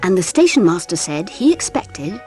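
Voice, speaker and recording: A woman playing a gentle English mother, telling the story in an expressive audiobook narration. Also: fairly low pitch, normal speed, soft background music. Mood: reassuring.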